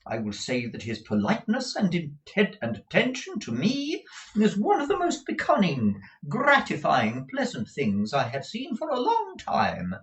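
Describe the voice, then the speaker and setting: A man's voice: high voice, huge pitch swings, a little slow, pitched above the performer's own voice, heavily acted, poor audio. A man voicing a fussy, gushing middle-aged mother from a storybook, emphatic, exclamatory and rambling. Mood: gushing, indignant.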